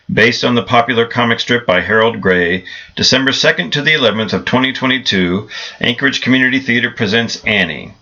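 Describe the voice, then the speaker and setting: A man's voice: on the low side, bright, forceful tone. A man reading a scripted promotional announcement as a radio announcer, in a dull recording with music behind.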